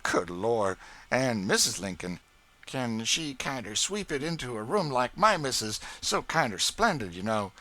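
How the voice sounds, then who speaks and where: A man's voice: mid-range voice, big pitch swings, unhurried, pushed and bright, voice pushed up. Aunt Chloe: a man voicing a bossy older woman cook. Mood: scornful boastful. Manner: scolding, boastful folksy dialect. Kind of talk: audiobook character voice.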